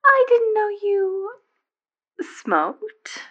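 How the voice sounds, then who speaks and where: A woman acting a sly, suspicious schemer in an audio drama, halting and drawling with mock politeness. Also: extremely high pitch, huge pitch swings, sharp tone, highs cut off, full character voice. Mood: sly suspicion. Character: sly, suspicious schemer probing her superior.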